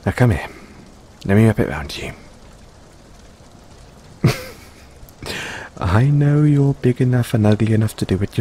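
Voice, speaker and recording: A man playing an affectionate, teasing middle-aged father doting on his younger boyfriend in an intimate audio roleplay, speaking playfully and fondly to the listener. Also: mid-range voice. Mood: caring, teasing. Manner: playful, fond, chuckling between unhurried phrases. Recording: steady rain noise in background. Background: rain falling.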